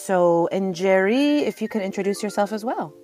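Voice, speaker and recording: A woman's voice: medium pitch, lively intonation, normal speed, warm tone. A woman from Sudan hosting a live panel conversationally, in an informal, affirming way. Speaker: panel moderator, journalist and podcast host. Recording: live audio-app chat, faint music.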